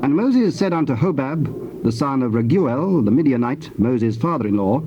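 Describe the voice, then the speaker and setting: A man narrating scripture from a script in a measured, formal manner. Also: mid-range voice, animated, mellow. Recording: dull noisy tape transfer.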